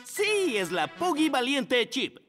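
Animated, singsong cartoon schoolteacher. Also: female voice, high voice, slow, soft background music, heavily acted. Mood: playful. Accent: Latin American Spanish. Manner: singsong, patient, addressing small children. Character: upbeat, encouraging animal schoolteacher, Mr. Tobin. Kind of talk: Spanish-language children's cartoon dub.

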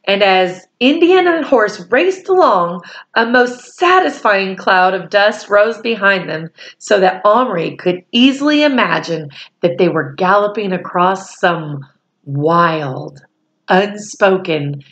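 A woman in her forties reading a storybook aloud to children, deliberate and pausing phrase by phrase, with explanatory asides. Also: medium pitch, expressive, a bit bright, lo-fi sound. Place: small room at home, indoors.

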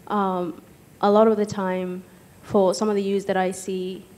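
A young woman from Egypt speaking hesitantly as a panellist on stage, pausing often, into a handheld microphone. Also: medium pitch, flat delivery, darkish tone. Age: young adult. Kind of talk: personal account in a panel discussion. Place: stage in an auditorium with audience.

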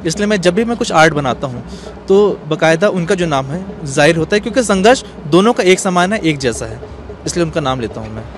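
A man's voice: on the high side, lively intonation, a little fast. A man in his thirties explaining himself as an interview guest, recorded outdoors on a handheld microphone. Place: outdoors on a bench in a courtyard. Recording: outdoor street noise, traffic behind.

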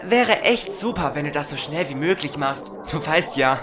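A man's voice: fairly high pitch, expressive, normal speed, a crowd in the background, lightly acted. A man playing a cool, teasing shadowrunner in a German audio drama. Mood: easygoing. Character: cool, teasing married shadowrunner named Lee. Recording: music bed behind the voice.

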